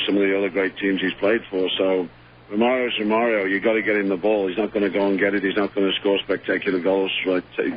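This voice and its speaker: A man calling in as head coach, hoarse from losing his voice, speaking haltingly with many fillers in a post-match phone interview. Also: fairly low pitch. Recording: dull phone line with faint hum.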